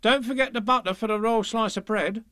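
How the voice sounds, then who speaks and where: Man putting on a high, genteel storybook queen. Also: a little fast, bright, forceful tone, no room sound, clean studio sound. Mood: polite, coaxing. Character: polite storybook queen, gently coaxing woman. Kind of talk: rhyming storybook character voice.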